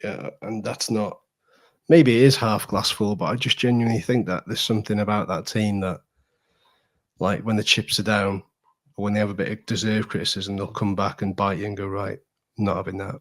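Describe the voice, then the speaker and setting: A man's voice: on the low side, fairly even intonation, moderate pace. A man chatting casually and with strong opinions as a football podcast guest, emphatic and repeating phrases to drive points home.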